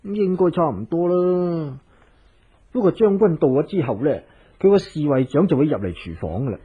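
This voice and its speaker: An actor playing a timid, doting household cook in a Cantonese radio drama, hesitant, sighing and deferential, with a Hong Kong accent. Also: male voice, a little high, big pitch swings, moderate pace, breathy edge. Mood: explaining. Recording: muffled narrow-band old radio recording.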